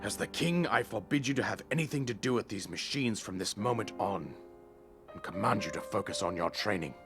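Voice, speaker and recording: A man's voice: fairly low pitch, expressive, a little fast, a bit bright, faint music behind. A man voicing a stern king scolding his daughter in a game cutscene, commanding and severe. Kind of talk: video game cutscene voice acting.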